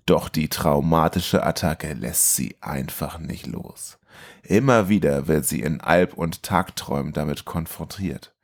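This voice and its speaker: A man's voice: extremely low pitch, unhurried. A man co-hosting a film podcast in a casual, chatty style. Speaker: podcast co-host.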